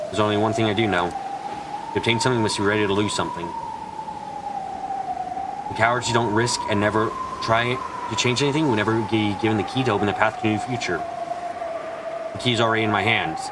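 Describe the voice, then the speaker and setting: Low-voiced man narrating a visual novel. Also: steady tone, fast talker, long pauses, very noisy. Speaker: streamer reading a visual novel aloud. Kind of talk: dramatic scripted narration.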